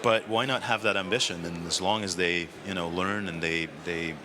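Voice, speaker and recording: A man answering interview questions as an industry guest, relaxed and thinking aloud with ums. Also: low-pitched, normal speed, a crowd in the background. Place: conference studio.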